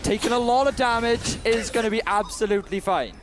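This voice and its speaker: A man's voice: sits high, brisk. A man with a Welsh accent giving color commentary on an esports match, laughing often as he breaks down plays. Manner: laughs often, excitable play analysis. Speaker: esports caster. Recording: music bed under commentary.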